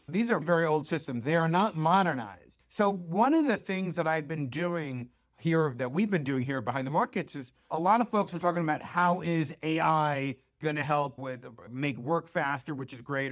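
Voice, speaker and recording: An American man with a slightly high voice speaks as a financial newsletter host. He pitches opportunities informally, leaning on emphasis and rhetorical questions, and checks in with the listener as he goes. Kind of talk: informal investment pitch to camera.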